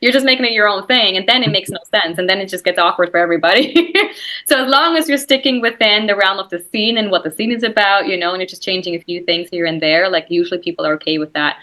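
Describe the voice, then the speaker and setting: A woman's voice: mid-range voice, quick pace, bright, forceful tone. A woman with a Swiss German accent, whose first language is Swiss German, speaking as an actress guest in a casual interview, chatty and full of "like" and "you know". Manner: chatty, lots of "like" and "you know", laughs easily.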